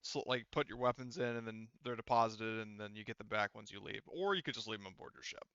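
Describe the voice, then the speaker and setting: A man's voice: fairly low pitch, fast talker, a bit bright, clear, resonant tone, dry recording, highs cut off. A man acting as game master, talking casually and informally with some swearing at a tabletop game.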